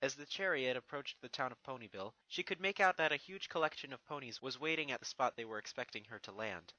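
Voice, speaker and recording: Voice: medium pitch, lively intonation, fast, sharp tone, no room sound, highs cut off. A narrator reading a fan fiction story expressively from a script, with music behind. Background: background music.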